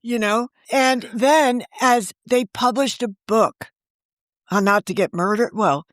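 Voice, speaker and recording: A woman's voice: a little high, slow, dry recording. Chatty woman novelist, dry sound. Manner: chatty storyteller, laughs often. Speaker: interview guest, novelist. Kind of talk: casual interview chat.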